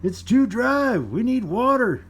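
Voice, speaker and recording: A man voicing excitable cartoonish workers and farmers in short exclamations for a children's storybook. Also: very high voice, slow, husky, pitched above the performer's own voice, exaggerated voice. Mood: distressed. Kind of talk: children's storybook character voices.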